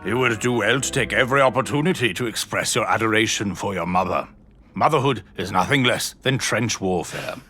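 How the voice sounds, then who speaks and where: A man's voice: lowish voice, fairly quick, pushed and bright, faint music behind. An actor playing a pompous, blustering old British statesman in an audio drama, boastful yet kindly, with grand oratorical phrasing. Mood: stern, scolding. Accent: British English.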